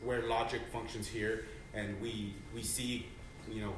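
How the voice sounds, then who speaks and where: A man's voice: fairly low pitch, level tone, a little hiss or hum. Guy in the audience asking a question, far off mic, super echoey hall. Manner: polite, halting, searching for words. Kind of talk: question from the floor.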